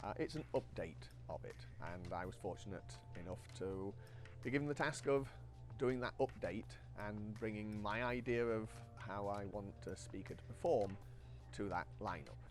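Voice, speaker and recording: A man's voice: lowish voice, normal speed, soft background music. A man speaking as an engineer, giving an informal technical explanation and posing questions that he then answers himself. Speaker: loudspeaker engineer and designer.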